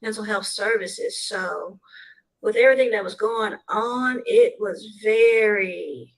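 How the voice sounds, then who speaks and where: A woman from the southern United States answering interview questions, laying out her advice point by point in a measured way. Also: medium pitch, low-quality recording. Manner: measured, advice-giving, lists points in order. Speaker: guest speaker.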